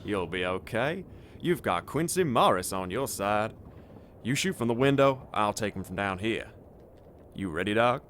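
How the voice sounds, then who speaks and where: A man acts out a steady gunman in an audio drama, folksy and commanding. His pitch moves freely up and down, and clear noise and clicking sit behind him. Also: moderate pace. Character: gallant, steady Texan gunman and vampire hunter.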